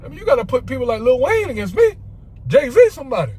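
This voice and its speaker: A man's voice: very high-pitched, big pitch swings, quick pace, a little mellow, slightly rough. A man casually telling an off-the-cuff story, rambling and repeating himself. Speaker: storyteller vlogger.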